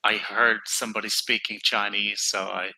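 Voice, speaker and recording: A middle-aged man from England, chatting casually as an online tutor in a relaxed, storytelling way. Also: on the low side, creaky, low-quality recording. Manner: relaxed, chatty, tells stories slowly. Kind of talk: casual conversation practice.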